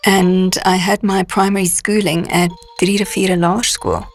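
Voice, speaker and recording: A woman's voice: medium pitch, little pitch movement, unhurried, faint music behind. A South African woman in an interview, plainly telling where she went to school.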